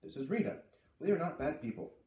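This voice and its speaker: A man's voice: fairly high pitch, animated, fast, darkish tone, reverberant, recorded from a distance, voice pushed up, lightly acted. A man doing an eager young boy. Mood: earnest.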